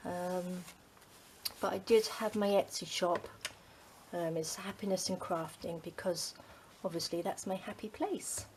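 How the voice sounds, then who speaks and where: A middle-aged Englishwoman talks casually and rambles, her lowish voice full of ums. She often sinks to a soft, near-whispered murmur while she crafts. A faint hiss sits under the recording. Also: steady tone, unhurried, low-quality recording. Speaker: craft channel host.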